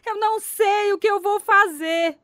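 Very high-voiced, long-suffering battered mother. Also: measured pace, a bit bright, no echo, clean studio sound. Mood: sad, resigned. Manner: emotional, pleading. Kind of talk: radio drama dub acting.

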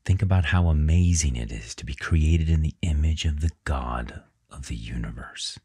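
A man narrating a guided religious meditation in a measured, reverent way with unhurried pauses. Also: extremely low pitch, dark tone, husky.

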